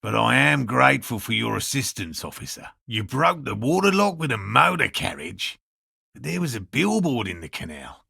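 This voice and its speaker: Game role: obsessive, courteous male field scientist, husky and crackling, lively pitch, fussy scholarly delivery. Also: normal speed, no echo, studio quality, exaggerated voice. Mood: polite, measured. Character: earnest eccentric male cryptozoologist scientist, obsessive but courteous. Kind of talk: video game dialogue voice lines.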